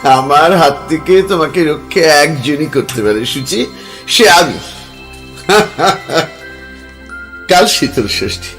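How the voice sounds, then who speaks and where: A man's voice: fairly high pitch, expressive, slow pace, pauses often, fairly bright tone, faint music behind, full character voice. An actor playing a young man reliving a past life as a lover, speaking tenderly and hesitantly in an audio drama. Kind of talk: Bengali radio drama acting.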